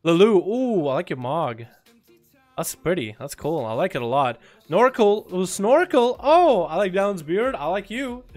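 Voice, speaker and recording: A man's voice: high-pitched, a little slow. A man with an American accent, a Russian speaker, hosting a livestream of game duels and chatting with viewers. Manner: excitable play-by-play, shouts at big moments. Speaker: streamer casting game duels. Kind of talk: livestream commentary and chat.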